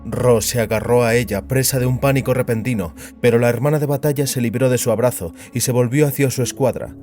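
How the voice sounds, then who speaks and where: Un hombre que narra un relato dramático con guion, como narrador de audioficción. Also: male voice, medium pitch, steady tone, fast talker, a little mellow, faint music behind. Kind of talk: scripted dramatic story narration.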